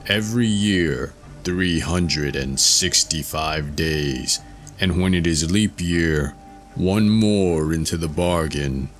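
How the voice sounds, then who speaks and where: A man voicing a huge, clownish and stupid male giant, speaking in a slow, ponderous growl. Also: low voice, faint music behind. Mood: gruff.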